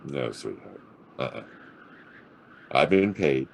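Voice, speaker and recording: An actor playing a hard-boiled, wisecracking private detective in a noir radio drama, with a gravelly, husky voice and a sardonic drawl. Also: male voice, extremely low pitch, very animated, slow, slight background noise, full character voice. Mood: probing, wry. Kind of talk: noir radio drama acting and first-person narration.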